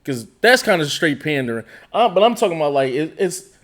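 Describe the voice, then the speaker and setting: A man's voice: a little high, big pitch swings, brisk, a bit bright. A man from the United States co-hosting a reaction show, rambling in loose casual chat with trailing thoughts. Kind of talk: casual group chat reacting to a music video.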